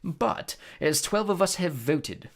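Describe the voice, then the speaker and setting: A man voicing a cold, smug aristocratic storybook villain with a smooth, lazy, condescending delivery. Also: a little high, animated, takes it slowly, voice pushed up. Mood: smooth, menacing.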